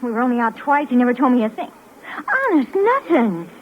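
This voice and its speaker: A woman's voice: high voice, very animated, a little fast. A woman playing a brassy, sassy young American waitress in a 1950s radio crime drama, talking in indignant slang. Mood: surprised, defensive. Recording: muffled, noisy old 1950s radio recording.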